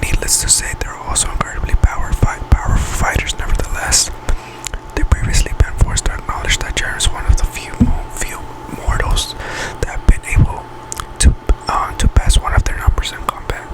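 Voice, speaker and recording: Whispering man, halting ASMR reader. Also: rough recording. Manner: halting, stumbles over words and self-corrects. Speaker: ASMR channel host. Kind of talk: whispered ASMR, reading a fan article aloud.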